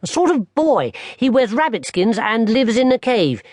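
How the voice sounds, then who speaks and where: An eager little boy from a children's storybook, voiced by a male narrator, speaks in a high, lifted pitch. He is earnest and insistent, with lively swings in his speech, recorded close and dry. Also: moderate pace, no echo, heavily acted. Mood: earnest, excited. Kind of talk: children's audiobook character voice.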